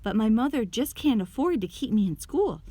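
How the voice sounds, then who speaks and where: A woman's voice: on the high side, big pitch swings, normal speed, dark tone, dry recording. Woman reading as proud, defiant young male athlete. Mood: troubled, earnest. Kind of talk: children's storybook character reading.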